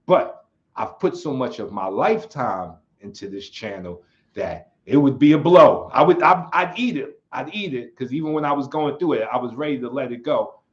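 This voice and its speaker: A man's voice: medium pitch, lively intonation, moderate pace. Laid-back man chatting on a livestream, lo-fi sound. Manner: laid-back, rambling, laughs often, reads chat aloud. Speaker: channel host. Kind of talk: casual livestream chat. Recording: slightly dull lo-fi sound.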